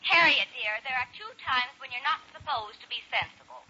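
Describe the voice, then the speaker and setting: A woman playing an older, gently meddling mother with a coaxing, martyr-like manner in a 1940s radio sitcom. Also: high-pitched, lively intonation, a little fast, husky, full character voice. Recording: muffled, noisy 1940s radio broadcast.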